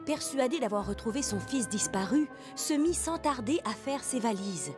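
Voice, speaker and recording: Woman storyteller for a cartoon, slightly high voice, scripted, loud music under her. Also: expressive, moderate pace. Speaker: narrator.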